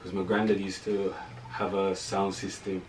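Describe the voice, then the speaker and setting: A man's voice: deep voice, monotone, moderate pace, recorded from a distance. A younger man, talking casually as an interview guest. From Jamaica. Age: younger adult. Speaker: band frontman, interview guest. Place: small room, indoors. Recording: echoey room, off-mic, faint noise.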